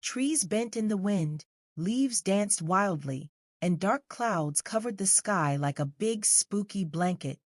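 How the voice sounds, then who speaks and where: A woman's voice: mid-range voice, expressive, slow pace, no room sound, studio quality. A woman reading scripted narration for a children's story as the narrator.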